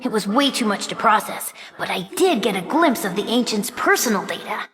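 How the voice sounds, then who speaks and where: A woman's voice: medium pitch, expressive, fairly quick, a bit bright, natural voice. A woman voicing an earnest, brainy young fox boy sidekick in a video game, with a youthful, articulate way of talking. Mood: focused, curious. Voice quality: youthful, boyish. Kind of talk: video game character voice lines.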